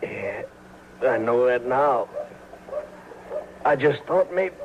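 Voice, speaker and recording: An actor playing a sly rancher villain with a gravelly, folksy American voice in an old radio western. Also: male voice, medium pitch, expressive, slow pace. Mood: wounded, resigned. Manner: insinuating, folksy ungrammatical speech. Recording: muffled, hissy old radio recording.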